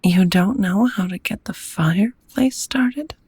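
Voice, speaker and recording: A woman playing a gentle, courteous female vampire roommate in an intimate ASMR roleplay, speaking in a tender, softly formal, breathy half-whisper. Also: mid-range voice, measured pace, no room sound. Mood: patient, amused.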